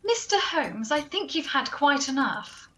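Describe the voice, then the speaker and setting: A woman's voice: high-pitched, very animated, a little slow, sharp tone, exaggerated voice. A woman playing a sensible, chiding assistant to a detective in a comic radio play, prim in manner. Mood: prim, reasonable.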